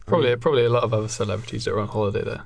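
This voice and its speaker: Creaky-voiced man improvising a story. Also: fairly low pitch, very fast, darkish tone, dry recording. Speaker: comedy podcast host. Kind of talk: casual improvised storytelling chat.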